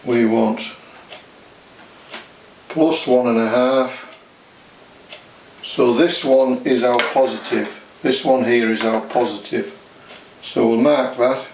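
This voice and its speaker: A man talks through a hands-on job step by step in a plain, matter-of-fact manner. His pace is slow and his pitch sits in the middle range for a man. There is some faint noise behind his casual demonstration. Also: steady tone, darkish tone, low-quality recording. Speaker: DIY demonstrator.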